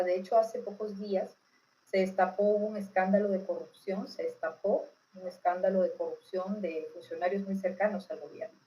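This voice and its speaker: Mellow-voiced Peruvian woman explaining. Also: mid-range voice, low-quality recording. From Peru. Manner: didactic, often checking understanding with tag questions. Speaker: political expert being interviewed. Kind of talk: informal explanatory interview answers.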